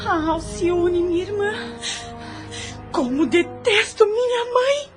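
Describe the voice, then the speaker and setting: High-voiced, melodramatic young Brazilian woman. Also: lively intonation, slow pace, music in the background, exaggerated voice. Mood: anguished, bitter. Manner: melodramatic, emphatic, formal literary diction. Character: distraught young betrothed woman, bitter toward her mother. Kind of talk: radio soap opera acting.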